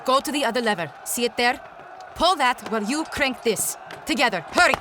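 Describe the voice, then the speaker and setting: A woman's voice: on the high side, lively intonation, brisk, a crowd in the background, background noise, lightly acted. A woman playing a fierce, distrustful resistance fighter in an audio drama, urgent and commanding. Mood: wary, brisk. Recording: loud music under the voice.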